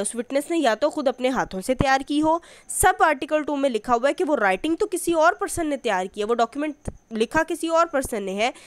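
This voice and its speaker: A woman's voice: high-pitched, no room sound. A woman with a Pakistani accent lectures like a law teacher, reading out passages and then explaining them, rephrasing and repeating her points.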